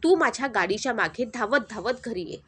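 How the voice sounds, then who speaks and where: A woman's voice: a little high, animated, fairly quick, slight background noise, close to an everyday voice. A woman voicing a rude, mocking rich young woman in a storybook narration. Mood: scornful.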